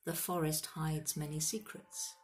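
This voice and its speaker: A woman voicing a grave, dreamy male centaur in a storybook, with a sorrowful, sighing tone and formal, measured speech. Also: deep voice, little pitch movement. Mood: cryptic, mournful. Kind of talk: storybook character voice.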